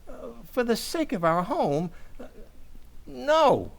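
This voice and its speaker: A man's voice: extremely high pitch, big pitch swings, slow pace, vocal fry, full character voice. An actor playing a bumbling henpecked family man in an old-time radio comedy, hesitant and flustered as he blusters. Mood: faltering.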